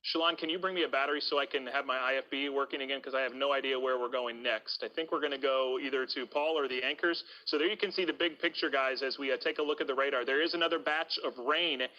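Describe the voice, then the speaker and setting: Bright-voiced male TV weatherman, slightly dull audio. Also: medium pitch, steady tone, quick pace, hardly any pauses. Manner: conversational, ad-libbing. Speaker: TV weather forecaster. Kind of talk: live severe weather commentary. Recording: dull, band-limited broadcast audio.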